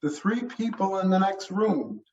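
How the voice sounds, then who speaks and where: A man voicing a measured, formal, distinguished male attorney in a mystery story reading. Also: sits high, very animated, darkish tone, voice pushed up. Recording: roomy, muffled, distant mic.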